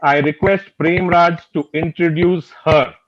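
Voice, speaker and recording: A man's voice: on the high side, fairly even intonation, a little slow. An older man with an Indian accent, co-hosting and making a formal scripted introduction on a video call. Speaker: show co-host introducing guests. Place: indoor room, on a video call. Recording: slightly dull video-call audio.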